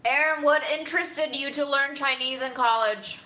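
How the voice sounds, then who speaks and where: A woman's voice: high voice, moderate pace, sharp tone. A woman chatting casually as she answers viewer questions, musing and easygoing. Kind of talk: casual Q&A chat.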